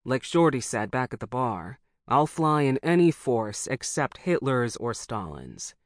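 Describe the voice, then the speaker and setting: A wisecracking American pilot, as an audiobook narrator puts him on, with an easygoing, drawling delivery. The voice sits a bit lower and slower than the narration, recorded dry and close. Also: big pitch swings, no room sound. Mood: sheepish. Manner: drawling, joking. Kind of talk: audiobook character voice.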